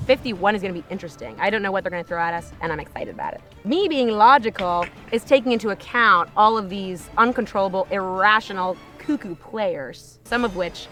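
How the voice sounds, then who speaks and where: A woman's voice: mid-range voice, fairly bright tone. A woman in her twenties from New Jersey, talking in an upbeat, outgoing and goofy way in a cast introduction interview. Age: 20s. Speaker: reality show contestant.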